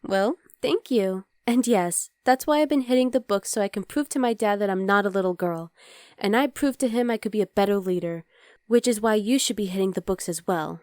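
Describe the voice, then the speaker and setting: A woman playing an affectionate, playful young demon girlfriend in an intimate ASMR roleplay, speaking softly to the listener. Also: mid-range voice, a little fast, no room sound, clean studio sound, lightly acted. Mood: touched, earnest.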